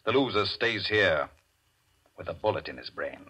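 An American man playing a cold, domineering gambler in a 1940s radio drama, with a husky voice and a commanding, mocking, measured delivery. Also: low-pitched, lively intonation, bright, forceful tone, heavily acted. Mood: cold contempt. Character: Barney, cold domineering high-stakes gambler, taunting and fearless. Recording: muffled old radio recording.

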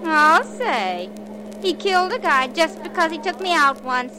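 A woman's voice: high-pitched, animated, a little slow. An actress playing a dim, chatty young gangster's girlfriend in a girlish, naive way, in 1950s radio drama style. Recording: noisy old radio recording.

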